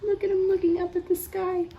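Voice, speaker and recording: A woman's voice: very high-pitched, muffled recording, slight background noise. A woman reading a picture book aloud to young children, acting it up theatrically and putting questions to them. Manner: animated, theatrical gasps and pauses for young listeners. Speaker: children's storytime reader.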